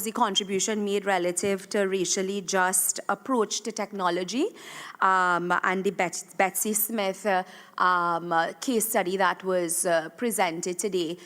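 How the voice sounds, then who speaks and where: A woman with a Trinidadian accent, speaking as a government minister at a round-table conference, pausing often with fillers and restarts. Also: mid-range voice. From Trinidad and Tobago. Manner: hesitant, many fillers and restarts. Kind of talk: formal remarks at a round table.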